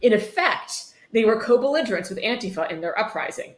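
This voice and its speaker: A woman's voice: on the high side, expressive, brisk. A woman hosting a livestream, chatting casually and reading passages aloud with sardonic commentary and free swearing. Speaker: livestream host reading a book aloud for charity. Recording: roomy, echoey sound.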